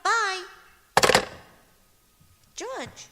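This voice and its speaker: Woman acting high, chirpy, scatterbrained housewife, hall reverb. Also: expressive, extremely slow, very noisy, exaggerated voice. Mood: cheerfully innocent. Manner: guileless, chirpy. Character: Gracie, sweet scatterbrained housewife, cheerfully illogical. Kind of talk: old-time radio comedy acting on stage. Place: stage of a performing arts auditorium. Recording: echoey auditorium with long reverb.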